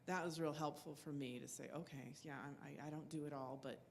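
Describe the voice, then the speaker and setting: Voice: mid-range voice, steady tone, fairly quick, gravelly. An audience member speaking from the floor of a workshop, with faint room noise underneath. The delivery is chatty and stumbles over repeated words. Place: conference room.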